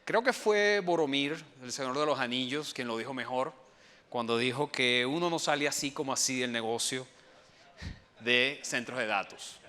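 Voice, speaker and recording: Venezuelan man, conference speaker, bright tense voice, hesitant with fillers, long hall reverb. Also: medium pitch, normal speed. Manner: hesitant, many fillers and restarts. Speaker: enterprise architect. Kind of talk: conference presentation.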